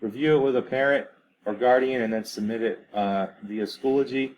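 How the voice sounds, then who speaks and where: A man's voice: medium pitch, steady tone, moderate pace, a little mellow, dull audio, a little hiss or hum. A man in his thirties, a teacher, giving a casual recorded introduction to his class, with many ums and uhs. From grew up on US military bases in Germany.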